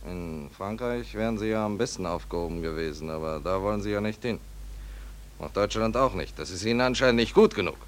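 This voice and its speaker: A man playing a gruff, impatient police commissioner in 1940s German radio drama, officious, dismissive and emphatic. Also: lowish voice, fairly quick, a little hiss or hum, lightly acted.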